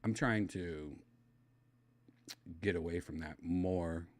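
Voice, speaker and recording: Middle-aged male livestream host from Wisconsin with a low voice and a slight lisp, loose mumbly delivery, dry close sound. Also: slow pace, dry recording. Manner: loose, mumbling, trails off into quiet asides. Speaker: livestream host reading chat. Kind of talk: casual rambling chat.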